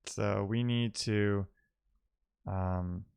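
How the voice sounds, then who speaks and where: A man's voice: on the low side, steady tone, drawn-out words, vocal fry, a little mellow, clean tone, dry recording, dull audio. A man hosting a live-coding stream, talking casually and thinking aloud as he works and answers his chat. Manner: informal, reading and answering chat messages aloud. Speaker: live-streaming programmer, host.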